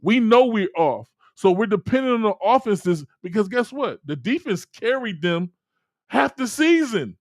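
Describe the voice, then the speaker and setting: A man with a high voice argues football on a sports talk show, fired up and defensive. He stammers and repeats himself when pressed. His voice is close and dry, with no room around it. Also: sing-song, moderate pace, dry recording. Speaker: co-host. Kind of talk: heated sports debate.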